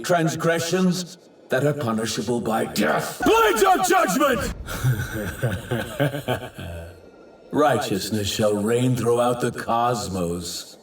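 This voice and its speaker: An actor playing an arrogant, self-righteous villain god in an anime dub, declaiming in a grandiose, archaic and theatrical manner. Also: male voice, medium pitch. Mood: haughty, righteous. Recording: roomy, distant, faint effects noise.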